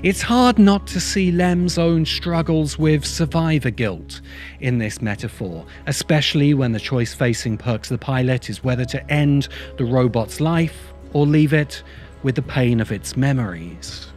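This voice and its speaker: A middle-aged man narrating a scripted essay as host, in an emphatic, deliberate way with dramatic pauses, close to the microphone. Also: mid-range voice, darkish tone. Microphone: close desk microphone. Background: music.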